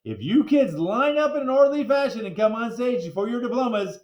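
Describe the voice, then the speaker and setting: A man's voice: very high voice, sing-song, brisk, highs cut off, voice pushed up. A man voicing an encouraging male school principal from a children's storybook, making a rhetorical speech to second graders. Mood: rousing. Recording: roomy, echoey sound.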